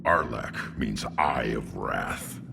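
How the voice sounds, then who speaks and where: An actor playing a young, brash lab-bred alien warrior commander in a video game, with a blunt, gruff growl. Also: male voice, animated, slow pace, long reverb tail, background noise, exaggerated voice. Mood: solemn. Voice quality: deep, gravelly growl. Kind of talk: video game cutscene acting.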